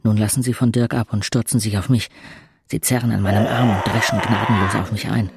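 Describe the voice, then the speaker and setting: A man's voice: on the low side, level tone, a little fast, creaky. An actor playing a bitter, brooding young man who tells of his village youth in an intense, introspective audio drama narration. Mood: bitter, resigned. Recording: music under the voice.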